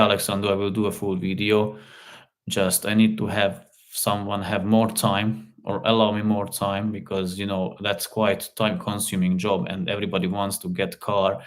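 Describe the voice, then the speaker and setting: Low-voiced Hungarian-speaking man chatting on stream. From Slovakia. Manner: halting, repeats words, many fillers. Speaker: live stream host, car repair hobbyist. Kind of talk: casual live stream chat reading comments.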